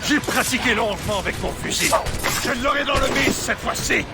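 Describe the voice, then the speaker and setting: An actor playing a proud, bitter French sea captain in a video game, mocking and theatrical, with a French accent. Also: male voice, very high voice, expressive, fast, vocal fry, full character voice. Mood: mocking, combative. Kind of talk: dramatic video game acting. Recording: loud music under the voice.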